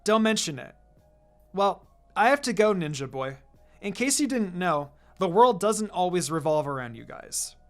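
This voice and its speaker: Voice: mid-range voice, sing-song, moderate pace. A narrator voicing an irritated, sassy young girl with a snappy, teasing delivery in a fan fiction story, over music. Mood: smug, teasing. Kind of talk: acted fan fiction dialogue.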